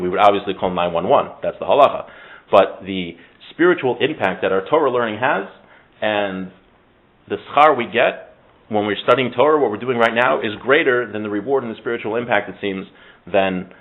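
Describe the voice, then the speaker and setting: A man's voice: lowish voice, narrow, tinny audio. A man teaching an informal religious class, making his points with emphatic repetition and rhetorical questions to his students.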